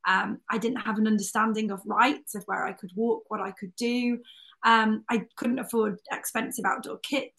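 A woman's voice: on the high side, fairly even intonation, normal speed, vocal fry, a bit bright. Woman from North Wales telling her story. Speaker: adventurer and broadcaster. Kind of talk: personal talk.

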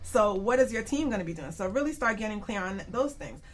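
A woman's voice: medium pitch, expressive, fast talker. A woman hosting a casual radio panel discussion in a chatty, informal manner. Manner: chatty, informal, often laughing. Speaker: radio show host. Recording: clear background noise, room ambience.

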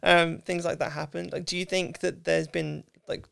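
A woman, hosting a casual interview in an informal, thoughtful way with halting phrasing. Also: fairly low pitch, level tone, vocal fry, smooth, dry recording. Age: mid 30s. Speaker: podcast host, interviewer.

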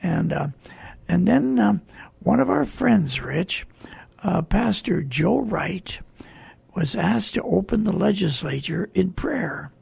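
A talk show host with a very raspy, gravelly voice, speaking off the cuff in a halting, deliberate, earnest way. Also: medium pitch, lively intonation, darkish tone, no room sound. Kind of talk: unscripted talk show commentary.

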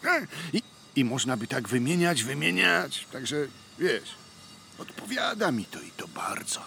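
An actor playing a pompous street drinker in a comic radio play, mixing slang with mock-learned words. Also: male voice, mid-range voice, sing-song, a little slow, creaky, bright, forceful tone, slight background noise, full character voice. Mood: pompous, enthusiastic. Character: male street-drinker philosophizing pompously about his way of life.

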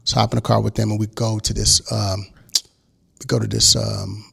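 Man, 40s, gravelly voice, interview guest, storytelling, acts out quotes. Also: on the low side, fairly quick. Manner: animated storyteller acting out quotes. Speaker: guest record producer.

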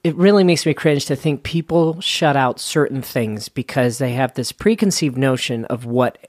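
A man's voice: mid-range voice, moderate pace, no echo, clean studio sound. A man in his late thirties from Salt Lake City, a wedding DJ chatting as a guest and telling playful stories. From Salt Lake City, Utah. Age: 30s. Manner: chatty, storytelling, playful, name-dropping. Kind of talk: casual chat.

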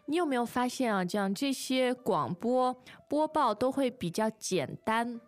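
A woman's voice: a little high, expressive, normal speed. A woman co-hosting a language lesson in a conversational teaching style, often agreeing and repeating key phrases.

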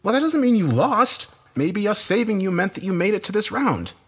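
Man acting a distraught husband, raised husky voice. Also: on the high side, sing-song, normal speed, pitched above the performer's own voice. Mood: arguing. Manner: insistent, questioning. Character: Danny, distraught husband and father, confrontational.

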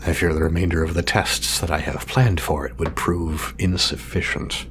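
A very deep-voiced, fussy scientist. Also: male voice, steady tone, noisy background. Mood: proud, clinical. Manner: formal, wordy, halting when flustered. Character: meticulous, fussy male scientist testing his drone creation. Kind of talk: audio drama acting.

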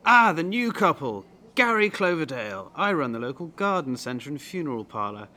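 A man's voice: fairly high pitch, sing-song, normal speed, sharp tone, a little hiss or hum. An actor playing a self-important, sociable village businessman who talks boastfully in an audio drama. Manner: pompous, boastful.

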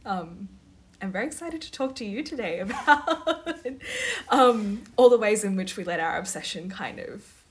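A woman's voice: mid-range voice, lively intonation, normal speed. A woman poet chatting casually and reflectively about her practice, using academic vocabulary. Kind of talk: casual conversational discussion. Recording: clear background noise, ambient sound.

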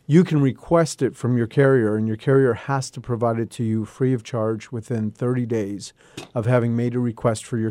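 A man who grew up around Boston, a real estate attorney, calmly explaining insurance claims as a guest on a radio talk show. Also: medium pitch, dry recording. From Boston area, United States. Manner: measured, explanatory.

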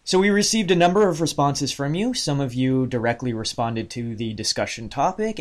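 A man hosting a podcast, delivering a prepared introduction to listeners. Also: fairly high pitch. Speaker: podcast host.

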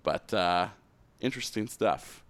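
A man's voice: sits low, expressive, a little slow, no echo. Clean, dry-sounding man chatting sports. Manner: profane, conversational. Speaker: podcast co-host. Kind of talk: casual sports chat.

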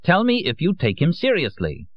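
A man's voice: on the high side, wildly swooping pitch, moderate pace, muffled recording, voice pushed up. Man playing a jealous, brooding man. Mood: tense. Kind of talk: audiobook character voice.

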